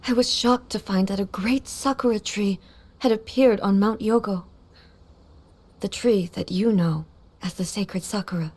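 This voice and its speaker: A woman playing a solemn, grieving immortal ruler in a video game, speaking formally and softly with measured composure. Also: medium pitch, breathy edge. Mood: reflective. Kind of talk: dramatic video game voice acting.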